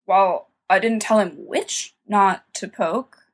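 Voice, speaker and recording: A woman's voice: mid-range voice, slow pace. A woman voicing a sly, careless teenage boy in an audiobook, flippant and scoffing in manner. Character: cocky, sly, mocking, careless teenage schoolboy. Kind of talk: dramatised fan-fiction audiobook reading.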